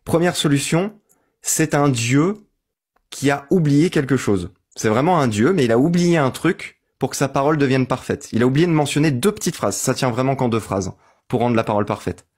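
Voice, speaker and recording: A man's voice: medium pitch, lively intonation, brisk, slightly rough. Methodical man arguing step by step. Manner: methodical, argues step by step, seeks agreement. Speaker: debate host. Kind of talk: online voice-chat debate.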